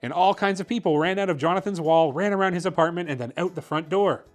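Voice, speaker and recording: A man with a fairly high voice reads a bedtime story for kids. His delivery is expressive storytelling, with music playing faintly behind him. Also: fast. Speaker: host reading children's picture books.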